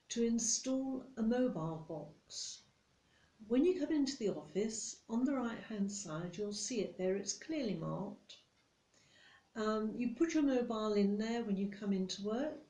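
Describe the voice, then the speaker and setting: Strict female office boss acted by a woman, British accent, soft mellow tone, slow deliberate scolding, ASMR roleplay, roomy echo. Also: mid-range voice. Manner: stern, condescending, deliberate. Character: strict, nitpicking female office boss telling off a new employee. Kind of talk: ASMR roleplay monologue addressed to the listener.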